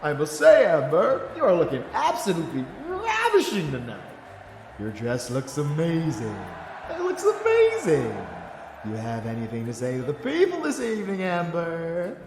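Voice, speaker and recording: Flamboyant, wildly swooping American gala host. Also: male voice, on the high side, wildly swooping pitch, normal speed, noisy background, pitched above the performer's own voice. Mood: gushing. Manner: gushing, melodramatic. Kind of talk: showy award-show announcing. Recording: echoey hall reverb, music behind.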